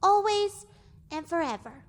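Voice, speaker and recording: Woman as a very high, sweet pony voice. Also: expressive, measured pace. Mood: tender. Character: sweet high-voiced female pony living in heroine's head. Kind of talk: audiobook character acting.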